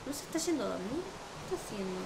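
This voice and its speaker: A woman's voice: on the high side, very fast, creaky, echoey room, heavy background noise. A young woman with a Rioplatense Spanish accent, chatting expressively and asking questions as she roleplays through a video game. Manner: expressive, asks many questions. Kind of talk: improvised gameplay roleplay chat. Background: music.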